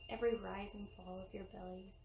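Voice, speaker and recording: A woman leading a guided meditation in gentle, measured short phrases. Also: mid-range voice, flat delivery, gravelly, noisy background. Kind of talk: guided meditation, slow and soothing. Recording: roomy, distant mic under loud music. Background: loud meditation music with singing bowls.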